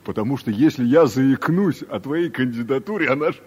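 An actor playing a smooth, back-slapping middle-aged careerist boss in a 1970s radio play, hearty and persuasive. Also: male voice, medium pitch, animated, brisk, a little mellow, dull audio. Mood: scheming. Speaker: newly appointed deputy director.

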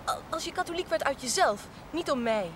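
An actress playing a sincere, hesitant young woman in love in a television drama, simple and gently questioning. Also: high-pitched, animated, brisk, noisy background. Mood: hesitant, serious. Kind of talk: 1970s Dutch television drama acting.

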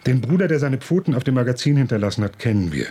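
An actor playing a cocky, wisecracking police assistant in a radio crime drama. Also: male voice, medium pitch, expressive, quick pace, dark tone, slight background noise, lightly acted. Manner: wisecracking, cheeky, sarcastic. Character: cocky young male detective assistant, Philipp.

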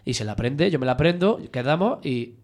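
Laughing man talking in quick bursts. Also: medium pitch, animated, a little mellow. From Spain. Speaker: band member guest. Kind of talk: casual interview chat.

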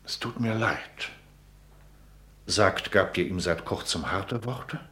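An old meddling dad at court in a radio play, low voice, preachy, echoey room. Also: male voice, animated, takes it slowly, sharp tone, exaggerated voice. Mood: urgent, sententious. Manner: theatrical, sententious. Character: elderly father and courtier, self-assured, fussy, meddling. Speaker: radio drama actor. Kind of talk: classical radio play acting.

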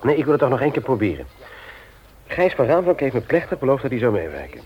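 A man's voice: mid-range voice, wildly swooping pitch, fast, breathy edge, heavily acted. An actor playing a middle-aged husband and father in a Dutch radio play, teasing, hearty and mock-indignant in a domestic scene. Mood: measured, earnest. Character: grumbling but affectionate middle-aged husband and father, office man. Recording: dull, lo-fi old radio recording.

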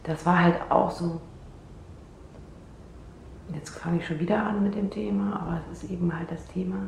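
A woman's voice: lowish voice, steady tone, moderate pace, gravelly, a little mellow. An actress playing an unsettled, insecure young woman in a naturalistic radio play, talking hesitantly and interrupting herself. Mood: unsure, hesitant. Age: young adult. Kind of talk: naturalistic radio drama dialogue. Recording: roomy sound with faint noise.

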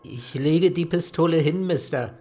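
An actor playing an odd, surly small-town mechanic in a crime radio play, dry and curt in speech. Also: male voice, a little high, unhurried, gravelly, dark tone. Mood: cowed, resigned. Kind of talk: radio drama dialogue.

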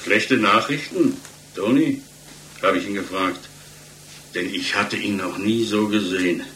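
An emphatic male witness with a lowish voice, telling his account colloquially. It sounds like 1960s radio drama acting, in an echoey room with clear noise on the old mono recording. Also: measured pace, sharp tone. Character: male witness emphatically recounting a shaken man's hasty exit.

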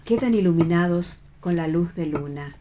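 Narradora de radioteatro de voz grave. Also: female voice, lowish voice, normal speed, dark tone. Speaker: narrator reading stage directions. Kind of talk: scripted narration for radio theatre.

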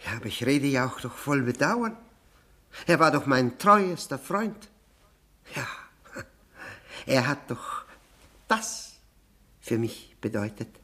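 An actor playing a bitter cuckolded widower in a 1960s German radio drama, fawning and self-abasing, then needling with mock courtesy. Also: male voice, mid-range voice, very animated, sharp tone, full character voice. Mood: bitterly sardonic. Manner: mock-courteous, insinuating, with drawn-out words and needling questions.

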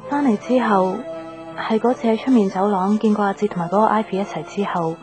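An actress playing a wistful young woman in a radio drama, reflective and soft in manner. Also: mid-range voice, fairly quick, lightly acted. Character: wistful young woman still attached to old love. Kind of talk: Cantonese radio drama narration and dialogue. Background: background music.

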